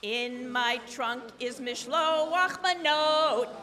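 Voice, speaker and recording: A high-pitched woman leads a live children's sing-along with unhurried, drawn-out delivery. She is playful and animated, prompting the young audience. The sound is a big, reverberant hall with music. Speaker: children's event song leader. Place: large indoor social hall. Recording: echoey social hall with music.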